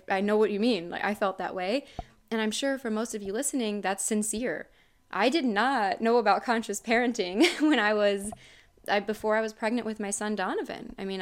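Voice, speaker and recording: A woman's voice: mid-range voice, smooth. A woman in her thirties hosting a casual talk with her father, earnest and chatty, often laughing. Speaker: host.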